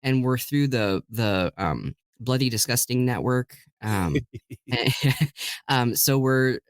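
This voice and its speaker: A man's voice: mid-range voice, takes it slowly, smooth, no echo. A man with a mid-range voice speaks in a casual, enthusiastic interview style. He sounds like a chatty guest voice actor, recorded close and dry with no room sound. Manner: enthusiastic, chuckles while talking. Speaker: guest voice actor and audiobook narrator.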